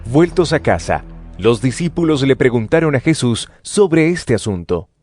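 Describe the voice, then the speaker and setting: A man's voice: medium pitch, big pitch swings, normal speed, darkish tone, faint music behind. A man narrating scripture for an audio drama in a scripted storytelling style.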